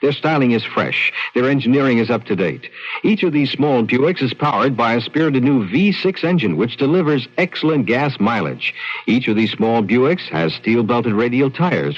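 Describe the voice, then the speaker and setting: A male commercial announcer reads a scripted 1970s radio spot. His medium-pitched voice has animated intonation, and the broadcast is a bit dull in tone.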